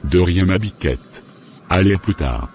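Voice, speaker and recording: A man acting as a very low-voiced churchgoing husband in an animated religious drama. His lines are stiff and measured. Some background noise sits behind the voice. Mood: evasive. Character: unfaithful husband, defensive churchgoer who turns repentant.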